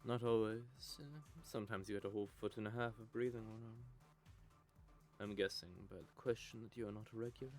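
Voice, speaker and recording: A man's voice: on the low side, fairly even intonation, fairly quick, soft background music, close to an everyday voice. A man playing a bitter, sardonic male vampire who curses and mocks. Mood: flirty. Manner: cursing, mocking, gravelly. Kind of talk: audio drama roleplay.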